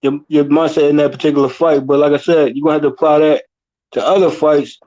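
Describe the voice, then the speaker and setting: A man with an American accent giving casual fight analysis as a show panelist, halting and repeating himself in slangy phrases. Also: on the high side, steady tone, darkish tone, dull audio.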